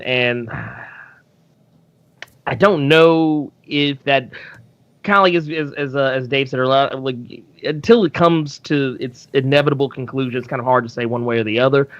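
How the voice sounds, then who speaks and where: A man's voice: mid-range voice, a bit bright, no echo, muffled recording. A man chatting casually as a podcast guest, hesitant and thinking aloud with frequent restarts. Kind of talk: casual live comic book discussion.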